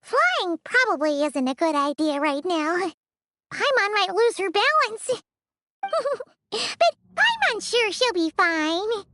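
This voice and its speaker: A woman voicing a tiny, chirpy, inquisitive floating girl companion in a video game, with a squeaky childlike voice and perky manner. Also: very animated, slow pace, bright, forceful tone, a little hiss or hum, heavily acted. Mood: woozy but chipper. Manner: refers to herself in third person, perky.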